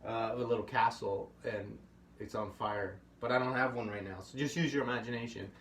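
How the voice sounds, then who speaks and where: A man's voice: medium pitch, fairly even intonation, a little fast, fairly bright tone. Easygoing middle-aged man teaching puppetry. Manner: easygoing, thinks aloud with fillers. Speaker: puppetry workshop host. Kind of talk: casual instructional talk. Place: home workshop room. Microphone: camera mic at a distance. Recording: slightly distant, faint hiss.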